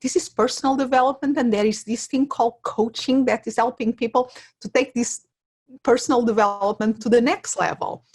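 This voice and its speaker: A woman's voice: a little high, fairly even intonation, brisk, a little mellow, husky. A middle-aged woman with a Portuguese accent, speaking as an interview guest and explaining things conversationally through examples and personal stories. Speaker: interview guest, career coach.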